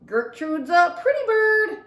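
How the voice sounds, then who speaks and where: A woman voicing an irritating female pet-shop parrot in a storybook, screaming short phrases in a screechy, croaking voice. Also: very high voice, big pitch swings, slow, fairly bright tone, reverberant, pitched above the performer's own voice, exaggerated voice. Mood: screeching.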